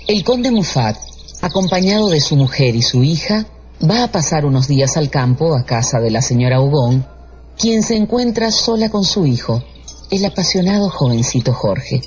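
A man narrating a literary radio drama in a measured, theatrical storytelling style. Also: on the high side, animated. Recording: muffled radio production with loud music.

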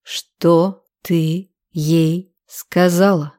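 Woman reading as a furious man, relaxed timbre. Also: fairly low pitch, lightly acted. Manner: menacing, clipped, deliberate. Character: furious, menacing young man interrogating with cold contempt.

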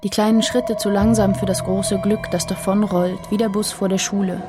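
A woman's voice: medium pitch, level tone, normal speed, close to an everyday voice. Poetic radio drama female voice: wistful, sorrowful, fragmentary phrasing, medium register, prominent music underneath. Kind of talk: poetic radio play monologue. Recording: loud music behind the voice.

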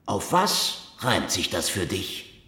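An actor playing a cynical Soviet secret police investigator in a German radio crime drama, with a sardonic, taunting, theatrical delivery. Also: male voice, sits low, huge pitch swings, takes it slowly, pushed and bright, reverberant, exaggerated voice. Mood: accusing, mocking.

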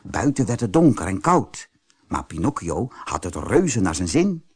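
A man narrating a children's fairy tale audiobook as an expressive, scripted storyteller. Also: lowish voice, measured pace, breathy edge.

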